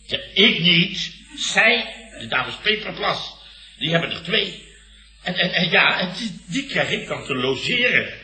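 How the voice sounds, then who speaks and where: Blustering dutch boss, very sharp forceful voice, pompous and aggrieved, roomy old radio comedy. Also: male voice, high-pitched, sing-song, measured pace, full character voice. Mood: flustered. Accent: Netherlands Dutch. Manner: blustering, pompous, stammering when flustered. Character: blustering, self-important, aggrieved male boss.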